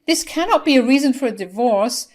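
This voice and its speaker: A woman's voice: mid-range voice, normal speed, lightly acted. A woman voicing a manipulative, desperate older mother who pleads and protests dramatically in a story. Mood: tearful pleading.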